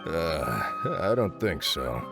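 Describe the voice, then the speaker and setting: A man's voice: a bass voice, animated, slow pace, gravelly, over loud music, full character voice. A man voicing an unassuming secondhand seller in a video game, speaking casually and hesitantly. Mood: sheepish, surprised. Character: unassuming, hesitant male secondhand market seller, mild and uncertain.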